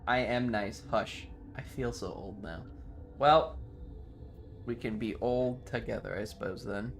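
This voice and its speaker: A man's voice: medium pitch, measured pace, creaky, soft background music. A man giving casual live game commentary and chatting with viewers in a playful, dramatic, sweary way. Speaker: livestreamer. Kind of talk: casual game stream commentary, reacting to chat.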